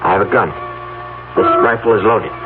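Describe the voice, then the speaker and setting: An actor playing a devoted, stubborn farmer husband in an old radio drama, with an emphatic, theatrical delivery. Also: male voice, on the low side, fairly quick, loud music behind the voice, lightly acted. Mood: wary, frightened. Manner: theatrical, emphatic, stammering when unsettled. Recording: tinny, hissy old radio recording with hum.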